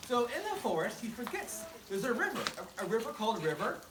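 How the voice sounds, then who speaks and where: A woman's voice: a little high, sing-song, fast. A woman telling a story live to a group in a casual, playful, self-deprecating way, prompting her listeners to join in. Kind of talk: interactive live storytelling for a group with audience participation. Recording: echoey, far from mic, noisy crowd. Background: crowd of listeners calling out.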